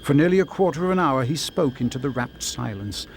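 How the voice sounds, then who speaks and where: A man narrating a scripted story in a measured, dramatic storybook manner. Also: mid-range voice, animated, a little hiss or hum.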